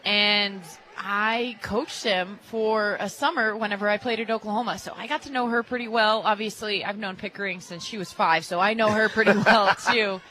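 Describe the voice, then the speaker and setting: American female game analyst, crisp bright edge, mid-range pitch, casual and conversational delivery from a radio booth. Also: steady tone, moderate pace, few pauses, low-quality recording. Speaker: color commentator, former player. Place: radio broadcast booth at a ballpark.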